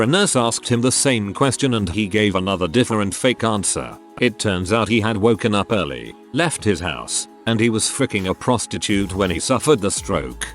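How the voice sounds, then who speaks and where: A man narrating forum stories aloud in a scripted reading style, moving from post to post. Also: fairly low pitch. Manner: reads each post aloud in turn. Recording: faint background music.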